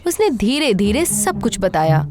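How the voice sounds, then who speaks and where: A woman's voice: medium pitch, big pitch swings, a little slow, music in the background. A woman telling a scripted horror story as narrator, in a suspenseful, theatrical manner.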